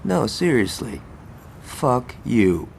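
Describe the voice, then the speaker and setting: A man's voice: mid-range voice, big pitch swings, takes it slowly, gravelly, slight background noise, heavily acted. An actor playing a brash, hot-headed male gangster who is cocky, sarcastic and foul-mouthed. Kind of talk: video game cutscene acting.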